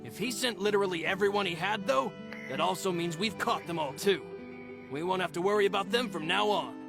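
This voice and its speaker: A man's voice: high-pitched, fairly quick, gravelly. An actor playing a loyal young gang member reporting respectfully to his boss. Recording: game audio over music.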